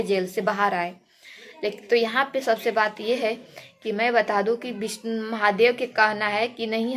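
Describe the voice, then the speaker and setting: Higher-pitched woman giving emphatic commentary. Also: fairly bright tone. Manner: emphatic, repeats phrases for stress. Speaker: reaction video host. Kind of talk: informal commentary on a viral dispute, addressed to viewers.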